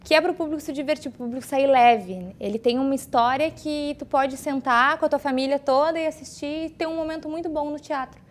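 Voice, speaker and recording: High, quick woman. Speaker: theatre performer. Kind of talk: informal interview talk.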